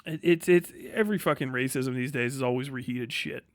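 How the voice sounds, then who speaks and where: A man's voice: medium pitch, a little fast, no room sound, clean studio sound. A man live streaming a casual, opinionated monologue in a profane, slangy, rambling and emphatic way.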